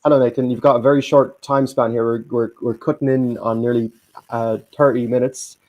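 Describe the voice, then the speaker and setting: A man hosting a casual live stream, slightly hoarse and halting, with many restarts. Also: medium pitch, little pitch movement, muffled recording. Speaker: stream host.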